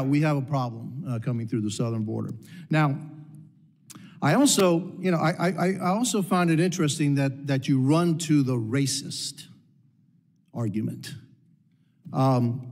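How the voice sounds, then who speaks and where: A man's voice: medium pitch, darkish tone. A man from Cuba, a congressman pressing a witness with repeated pointed questions at a hearing. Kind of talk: heated committee hearing questioning. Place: large hearing room. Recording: long reverb of a hearing room.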